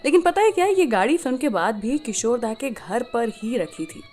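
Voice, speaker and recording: Animated, higher-pitched Indian woman radio host. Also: normal speed, soft background music. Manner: expressive storyteller, mixes Hindi and English. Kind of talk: scripted radio storytelling about classic film music.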